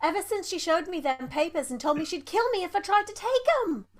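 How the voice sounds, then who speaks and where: A woman's voice: very high-pitched, expressive, brisk. A woman playing a deferential, chatty young servant with homely, ungrammatical speech in an audio drama. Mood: earnest testimony.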